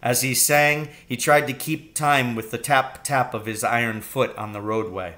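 A man's voice: mid-range voice, steady tone, moderate pace, sharp tone. Middle-aged man reading a storybook aloud. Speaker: reader. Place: room at home, indoors.

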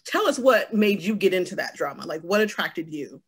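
A woman's voice: lowish voice, sing-song, brisk, husky. An American woman in her forties moderating a video-call panel in a casual, conversational way. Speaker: panel host. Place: home room, indoors, video call.

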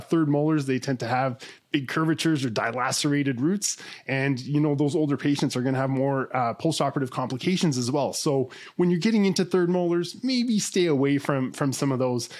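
A man in his thirties speaks as a dentist guest on a podcast, earnest and energetic, often doubling words as he builds toward a point. His voice sits a little high, picked up close on a boom-arm studio mic in an indoor studio. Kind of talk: conversational interview. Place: indoor studio, remote call.

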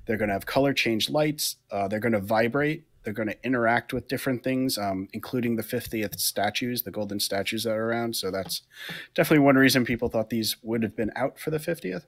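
A man's voice: mid-range voice, brisk. Regular-voiced guy rambling through news on a home video call pod, lots of ums. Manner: chatty, explaining with fillers. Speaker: podcast co-host. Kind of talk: casual podcast chat. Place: room at home. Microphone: headset on a video call.

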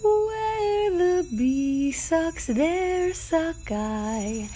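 A woman's voice: very high-pitched, expressive, very slow, dark tone, faint music behind, heavily acted. A woman playing a dainty, merry airy spirit in a Shakespearean radio play, with a playful sing-song delivery. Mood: merry, dreamy.

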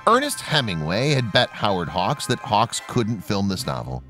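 Male narrator, lowish register, animated pitch movement, formal scripted read of trivia. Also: moderate pace, faint music behind.

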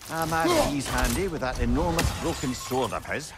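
A man's voice: medium pitch, lively intonation, normal speed, loud music behind the voice, natural voice. A man playing a chatty, worldly old companion in a video game, with a droll, witty way of talking. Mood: explanatory. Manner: droll, talkative, wryly witty. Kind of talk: video game dialogue acting.

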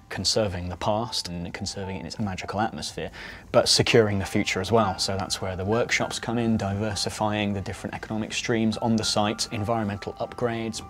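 A man, an architect, speaking in a measured, thoughtful way in a documentary interview. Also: fairly low pitch, a bit bright. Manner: measured, thoughtful, with occasional fillers.

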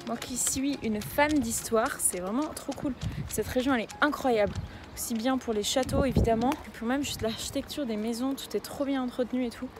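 Enthusiastic young woman vlogger over loud music. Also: a little high, a little fast. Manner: enthusiastic, effusive. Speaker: travel vlogger and dog trainer. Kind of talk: casual travel vlog chat.

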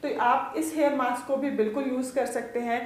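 A woman's voice: high-pitched, steady tone. A young woman hosting a beauty channel, chatting through a sponsored hair product review and mixing English into Hindi. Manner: chatty, mixes English into Hindi, reads product names slowly. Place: indoor room with lamp and plants. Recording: echoey room.

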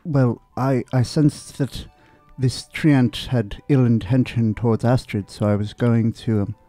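Male player at a game table, average pitch, casual in-character talk, faint music behind. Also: fairly even intonation, a little slow, mellow, dry recording. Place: room, group around a table. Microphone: table microphone on arm, close.

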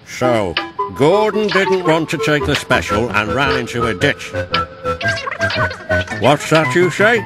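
Guy doing a fed up bossy railway controller on the phone, voice raised in pitch, music behind. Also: very animated, slow, long pauses. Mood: exasperated. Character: stern, exasperated male railway boss on telephone.

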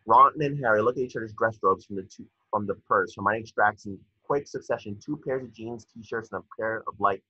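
A young man reads screenplay stage directions as narrator. His voice is fairly low and raspy. It is recorded dry and a bit muffled over a video call. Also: a little fast, few pauses, pushed and bright, no echo.

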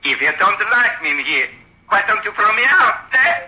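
A man's voice: sits high, very animated, normal speed, pushed and bright, exaggerated voice. An actor voicing a small, sinister male ventriloquist's dummy, mocking and threatening, in old-time radio drama style. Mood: taunting. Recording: tinny, dull old radio recording.

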